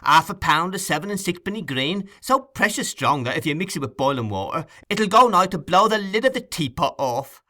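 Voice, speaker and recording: A man's voice: high-pitched, lively intonation, a little fast, few pauses, pushed and bright, voice pushed up. A man voicing a gleeful, boastful young male thief showing off stolen food in a storybook reading.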